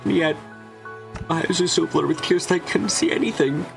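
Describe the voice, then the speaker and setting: A man's voice: a little high, brisk, vocal fry, over music. A man playing an earnest, remorseful young man who narrates his own story in a dramatic visual novel style. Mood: tender, sorrowful.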